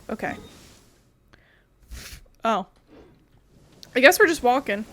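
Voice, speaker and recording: A woman's voice: medium pitch, a little hiss or hum. A woman giving casual playthrough commentary as a gaming host, in a wry, jokey, slangy way.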